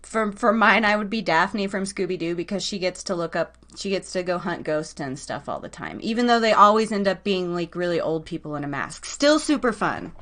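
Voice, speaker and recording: A woman hosting a live online show, talking in a casual, slangy, animated storytelling way. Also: on the low side, a little fast, few pauses.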